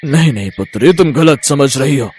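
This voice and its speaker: A man's voice: medium pitch, expressive, moderate pace, darkish tone, breathy edge. Guy doing a death god in a story cartoon, formal and bossy, stern but kinda patient. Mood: formal, insistent. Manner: formal, commanding. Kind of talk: animated Hindi moral-story voice acting.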